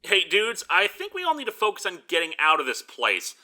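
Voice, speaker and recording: A man's voice: high voice, huge pitch swings, fairly quick, sharp tone, full character voice. A man playing the take-charge leader of a group of friends in an amateur horror comedy, speaking emphatically and giving directions. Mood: urgent, practical.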